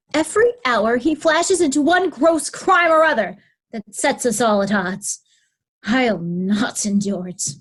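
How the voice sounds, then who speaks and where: A woman playing a haughty, resentful royal daughter in a readers' theatre Shakespeare play, imperious and scornful. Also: on the high side. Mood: indignant.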